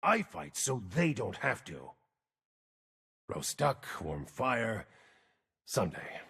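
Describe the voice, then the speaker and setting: An actor playing a gruff, brooding wandering mage in a video game, world-weary and terse, with a raspy, gravelly voice. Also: male voice, medium pitch, sing-song, slow, a bit bright, long reverb tail, heavily acted. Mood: weary, grim. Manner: terse, grim, theatrical delivery. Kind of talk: video game character voice lines.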